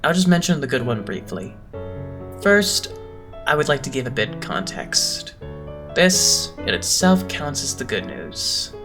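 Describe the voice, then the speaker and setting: A composed young male idol leader, played by a man, speaking with measured, lofty politeness in anime dub style. His pitch is middling and his delivery smoothly condescending. Plucked guitar music plays clearly underneath. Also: very animated. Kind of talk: anime-style fandub voice acting.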